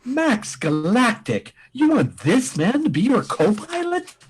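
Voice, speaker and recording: Man playing husky, high-pitched blustering admiral. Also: huge pitch swings, takes it slowly, full character voice. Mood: stern, suspicious. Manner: pompous, emphatic, speechifying. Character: blustering, commanding space admiral, male senior officer. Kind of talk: comic radio play acting.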